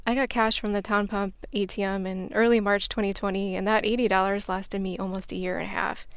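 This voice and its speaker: A woman's voice: mid-range voice, flat delivery, a little fast, clean tone, dry recording. An American woman hosting a podcast, reading a scripted travel story as narration. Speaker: podcast host.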